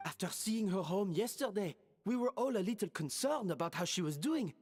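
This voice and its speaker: Earnest, animated young game character. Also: female voice, medium pitch, a little fast, slightly rough, big-room sound, soft background music. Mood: worried. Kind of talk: video game voice acting.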